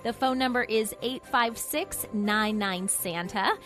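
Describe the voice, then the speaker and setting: A woman's voice: on the high side, takes it slowly, a bit bright, soft background music. A woman playing a chirpy, friendly Christmas elf who hosts a children's radio show, upbeat and chatty with listeners. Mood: upbeat, informative. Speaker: host.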